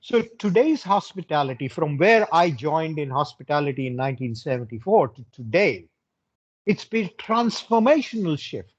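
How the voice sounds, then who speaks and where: A man's voice: a little high, big pitch swings, moderate pace, dull audio. A man of sixty-seven giving a motivational talk to students as a senior hotel manager, emphatic and repeating phrases for stress. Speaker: hotel general manager, guest speaker.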